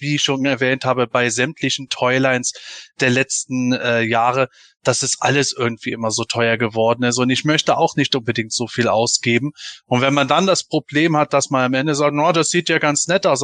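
A man's voice: mid-range voice, a bit bright, no echo. A man hosting a casual fan podcast, talking through his opinions in a discussion. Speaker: podcast host.

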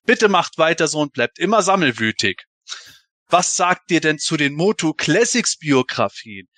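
Bright, forceful male podcast host. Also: a little high, sing-song, moderate pace, no echo. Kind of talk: casual podcast moderation.

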